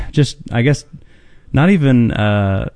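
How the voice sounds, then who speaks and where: A young man from Georgia, a guest singer chatting casually in a radio interview, relaxed and hesitant with lots of ums and likes. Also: mid-range voice, slow, smooth, no room sound.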